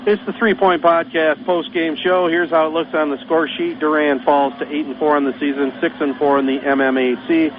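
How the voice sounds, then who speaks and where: A man with a Michigan accent calls a high school basketball game for local radio, briskly narrating each pass and shot. His voice sits a little high, and it comes through a tinny, phone-like line. Steady hiss runs under every word. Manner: brisk, running call of the action. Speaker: play-by-play announcer.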